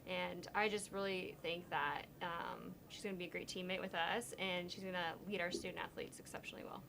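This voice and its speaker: A woman's voice: lowish voice, steady tone, fairly quick, creaky, a bit bright, a little hiss or hum. A woman, an associate athletic director, answering interview questions earnestly with many fillers.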